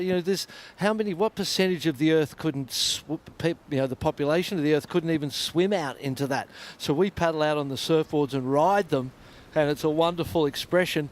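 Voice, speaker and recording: A man calling a surfing contest live, chatty and reflective between the waves. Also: a little high. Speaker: surf contest commentator. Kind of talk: live sports commentary.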